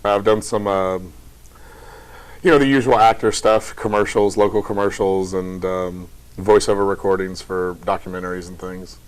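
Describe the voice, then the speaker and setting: A young man from Kentucky with a very deep voice, chatting casually in a TV interview as a theater director. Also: moderate pace, low-quality recording. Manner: relaxed, chatty, light laughs.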